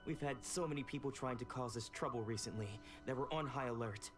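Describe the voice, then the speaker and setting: A man's voice: mid-range voice, quick pace, close to an everyday voice. Male actor as loyal young dojo student for a game, protective, polite formal diction, music underneath. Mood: apologetic, earnest. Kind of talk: video game dialogue acting.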